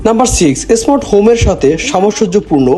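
A Bangladeshi man delivers a promotional voice-over in a high-pitched voice. He mixes English phrases into his sales pitch, with light music playing behind him. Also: sing-song, fast, warm tone. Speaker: product presenter for a gadget seller. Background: background music.

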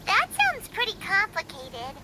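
A woman voicing a tiny, excitable, childlike fairy girl in a video game, squeaky and exclamatory. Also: a little slow, very noisy, full character voice. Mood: eager. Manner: exclamatory, refers to self in third person. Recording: game music behind the voice.

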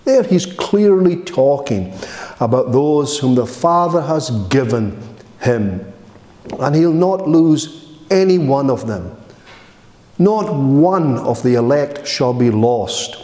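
A middle-aged male minister preaching a sermon from a lectern, measured and solemn, building emphasis through repeated phrases. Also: mid-range voice, animated, mellow, echoey room. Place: church hall, indoors.

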